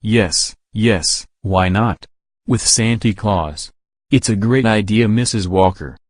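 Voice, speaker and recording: An actor playing a bluff, self-satisfied older store owner, hearty and emphatic in a 1940s radio drama. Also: male voice, fairly low pitch, slow, dry recording, clean studio sound. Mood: brisk, self-satisfied.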